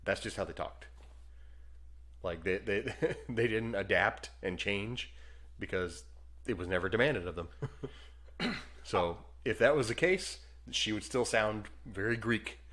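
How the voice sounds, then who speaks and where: Deep-voiced, laid-back man. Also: measured pace, long pauses, fairly bright tone. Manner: laid-back, chuckling, rambling asides. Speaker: podcast co-host. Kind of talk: casual movie review chat.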